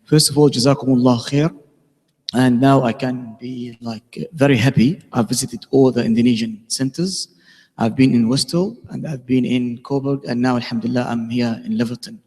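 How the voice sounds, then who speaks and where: A man from Syria with a Syrian Arabic accent gives an informal, explanatory community lecture in halting English as a native Arabic speaker. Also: mid-range voice, steady tone, warm tone. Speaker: guest speaker, pharmacist and school teacher. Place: mosque hall. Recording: echoey hall with long reverb.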